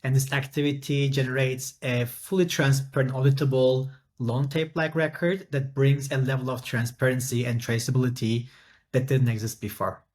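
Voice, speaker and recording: A middle-aged man from Turkey, a company founder answering interview questions in a measured way with many ums and uhs. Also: medium pitch, level tone, darkish tone. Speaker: startup founder and CEO, interview guest.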